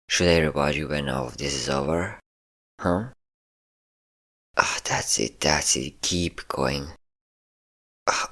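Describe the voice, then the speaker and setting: A man's voice: a bass voice, a bit bright, heavily acted. A man voicing a possessive, sadistic and flirtatious vampire captor in an intimate roleplay audio drama, teasing and commanding. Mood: coaxing, dominant. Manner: teasing, commanding, theatrically smug.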